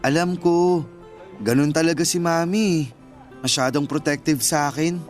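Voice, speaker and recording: Male actor as earnest young man, Filipino accent, slightly high voice, polite, gentle, radio drama, faint music bed. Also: normal speed, close to an everyday voice. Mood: sincere.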